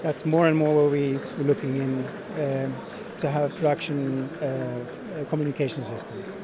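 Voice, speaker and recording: A middle-aged man giving unscripted interview answers as a sales manager, speaking in halting phrases with frequent repeats. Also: medium pitch, fairly even intonation, low-quality recording. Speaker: sales manager being interviewed at a trade show. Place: busy exhibition hall. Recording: busy trade show crowd noise.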